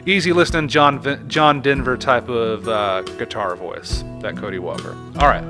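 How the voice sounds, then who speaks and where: A man's voice: mid-range voice, moderate pace, over music. A man hosting a podcast, talking in a chatty, informal way. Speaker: podcast host. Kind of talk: casual podcast sign-off.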